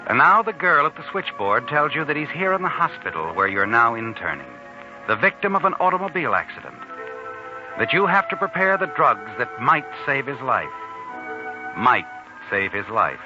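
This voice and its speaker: A man's voice: medium pitch, sing-song, normal speed, natural voice. An American man playing an ominous, taunting narrator in a 1940s radio suspense drama, addressing the hero dramatically. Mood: grim, suspenseful. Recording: dull 1940s radio recording, music behind.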